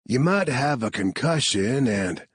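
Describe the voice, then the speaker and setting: A man voicing a calm male medic who talks in a soothing drawl, in an audiobook. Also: medium pitch, a little slow, breathy edge, no room sound, studio quality, pitched above the performer's own voice. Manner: calming drawl.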